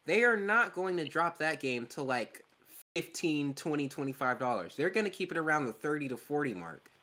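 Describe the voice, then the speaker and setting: Lively-voiced young man chatting. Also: on the high side, normal speed, a little hiss or hum. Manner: joking, laughs often. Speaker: podcast co-host. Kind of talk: casual chat.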